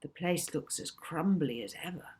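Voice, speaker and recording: A woman's voice: deep voice, animated, moderate pace, a little mellow, husky, full character voice. A woman voicing an earnest, slightly glum young girl in a children's audiobook. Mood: observant.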